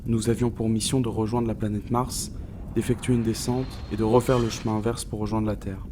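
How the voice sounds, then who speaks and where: A man playing a haunted space-mission scientist in an audio drama, narrating gravely and deliberately with weighted pauses. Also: on the low side, little pitch movement, noisy background, natural voice. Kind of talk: scripted dramatic first-person narration.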